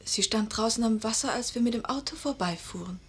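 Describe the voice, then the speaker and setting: Husky, bewildered middle-aged woman narrator. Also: on the high side, brisk. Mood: confused, hesitant. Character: bewildered American woman of forty-one, anxious, introspective first-person narrator. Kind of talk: German radio play narration and dialogue.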